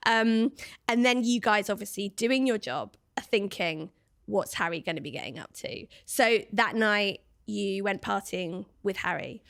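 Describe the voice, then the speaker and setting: A woman hosting a showbiz podcast, bubbly and gossipy, firing quick questions. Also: medium pitch, lively intonation, creaky, fairly bright tone. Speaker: podcast host. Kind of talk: casual showbiz chat.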